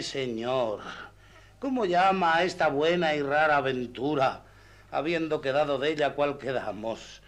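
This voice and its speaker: A man plays a battered peasant squire in radio theatre. He has a fairly high voice for a man and grumbles in a plaintive, earthy way, full of woe. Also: a bit bright. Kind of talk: radio drama acting in archaic Spanish.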